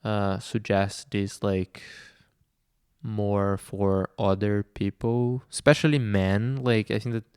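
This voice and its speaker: Man, slow unhurried talk, very clear dry voice, hesitant with fillers. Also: on the low side, steady tone, darkish tone, dry recording. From Brazil. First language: Portuguese. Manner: hesitant, many fillers and restarts. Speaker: vlogger. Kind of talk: reflective personal talk.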